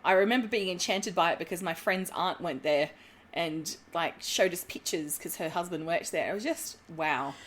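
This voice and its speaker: A woman's voice: fairly low pitch, moderate pace. A woman co-hosting a podcast, chatting enthusiastically about a baking show with lots of fillers. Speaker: podcast co-host. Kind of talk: casual chat recapping a TV baking show.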